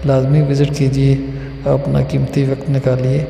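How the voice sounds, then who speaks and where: A man's voice: mid-range voice, normal speed, creaky. A man from Azad Kashmir with a Pakistani accent, earnestly narrating a travel vlog in formal, poetic phrases. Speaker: vlogger, local guide. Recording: roomy echo, steady background noise.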